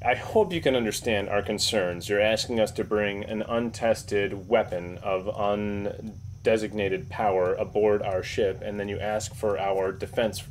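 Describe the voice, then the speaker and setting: Man playing low-voiced, firm starship captain, noisy recording. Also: close to an everyday voice. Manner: measured, formal, diplomatic. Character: firm, courteous male starship captain, cautious and authoritative. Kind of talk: improvised tabletop roleplay.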